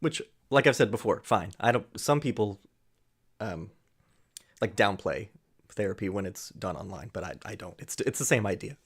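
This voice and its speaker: Sarcastic man with a low voice. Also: expressive, normal speed, breathy edge. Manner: dry, mocking asides. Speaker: reaction commentator. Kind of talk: sarcastic commentary over a played video.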